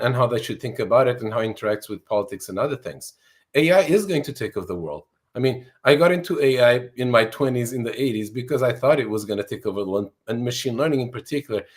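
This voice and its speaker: Guy in his 60s from portugal, author being interviewed, earnest, explains stuff, middle pitch. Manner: earnest, explanatory, fluent with some restarts. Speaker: author and guest. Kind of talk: interview answers.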